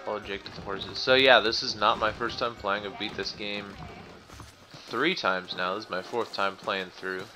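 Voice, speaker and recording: A man's voice: fairly low pitch, steady tone, normal speed, bright, forceful tone, faint music behind. A man giving casual, conversational commentary as he plays a video game. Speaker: let's play commentator.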